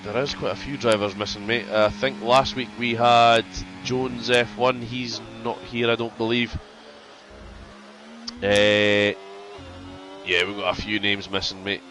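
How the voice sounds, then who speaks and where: A man's voice: fairly low pitch, little pitch movement, unhurried, fairly bright tone, slight background noise. A man doing casual sim racing commentary, congested from a cold, chatty and prone to repeating himself.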